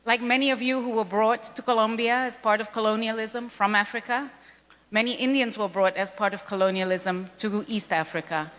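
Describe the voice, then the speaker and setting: A woman's voice: fairly high pitch, fairly even intonation, brisk, fairly bright tone. A woman from Kenya speaking earnestly on a panel, pressing her points and repeating them for emphasis. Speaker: panel speaker, foundation president. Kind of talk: speech at a panel event. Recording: long reverb of a large hall.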